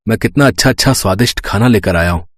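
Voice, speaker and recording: A man playing a poor, caring village working man in a cartoon story, earnest and plaintive, with a husky voice. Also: on the low side, fast, no echo, studio quality, natural voice. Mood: pleased. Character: poor, self-pitying, caring young rickshaw man.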